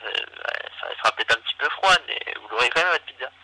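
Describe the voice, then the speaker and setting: A man with a very bright, sharp voice talks over a telephone line on a radio broadcast. He chats casually and jokingly, hesitant and teasing, at an ordinary male pitch. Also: animated, quick pace. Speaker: prank call recipient. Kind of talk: casual joking phone chat.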